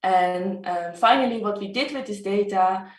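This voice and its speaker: A woman's voice: mid-range voice, moderate pace, distant mic. Hesitant woman on an echoey video call. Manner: hesitant, many fillers. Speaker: artist presenting her project. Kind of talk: casual presentation on a video call.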